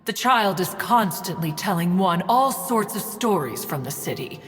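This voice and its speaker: A woman's voice: lowish voice, lively intonation, normal speed, soft background music, natural voice. An actress playing an aloof, haughty female immortal in a game, speaking in a formal, archaic way, with long reverb on the voice. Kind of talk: game voice acting.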